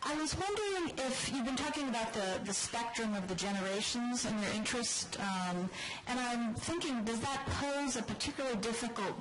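A woman's voice: on the high side, moderate pace, few pauses, music in the background, rough recording. A woman in the audience asking a long, thoughtful question with some hesitant phrasing. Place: conference room.

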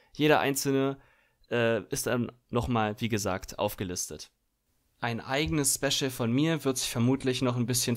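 A man hosting a live stream in casual, jokey chat. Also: mid-range voice.

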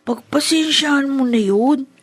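A woman playing a gentle, wistful child in a Filipino radio drama, plaintive and earnest. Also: high-pitched, measured pace, no room sound. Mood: gentle, consoling.

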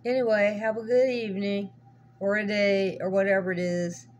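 A woman's voice: medium pitch, little pitch movement, normal speed, muffled recording. Folksy lady rambling on a home video, repeats herself, bit of hum in the background. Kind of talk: casual chat to viewers. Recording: humming noisy amateur recording.

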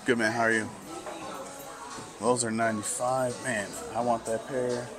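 A man vlogging casually as he browses sneaker stores in a mall, talking slowly and musing to himself with lots of fillers. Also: medium pitch, flat delivery, music in the background. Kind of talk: casual walk-through commentary.

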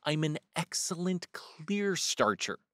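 A man's voice: a little high, big pitch swings, slow, a bit bright, no echo, studio quality, voice pushed up, heavily acted. A man reading a storybook aloud and voicing a humble little hedgehog washerwoman who keeps bobbing and repeating polite phrases. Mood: eager, polite.

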